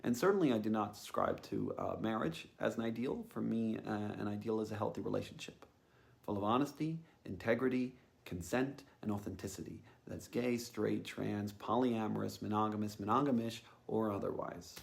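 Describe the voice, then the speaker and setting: A man's voice: fairly low pitch, normal speed, gravelly. A man giving a reflective personal monologue as a host, musing tentatively and thinking aloud. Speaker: podcast host.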